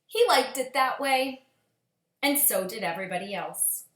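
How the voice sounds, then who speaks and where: Animated woman reading a storybook aloud. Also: on the high side, normal speed. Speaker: storyteller, teacher. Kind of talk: children's storybook read-aloud. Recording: echoey room.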